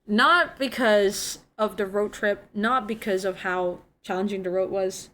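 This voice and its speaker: A woman's voice: medium pitch, unhurried, fairly bright tone. A young woman from Indonesia, speaking English as a second language, chatting casually with her viewers and telling a travel story full of "like" and giggles. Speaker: streamer.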